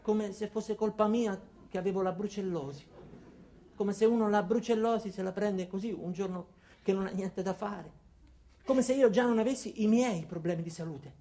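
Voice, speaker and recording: An actor playing an anxious, paranoid ordinary man, telling his story in a wry, deadpan theatrical monologue. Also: high-pitched, moderate pace. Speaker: actor performing a comic monologue. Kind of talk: theatrical first-person comic storytelling.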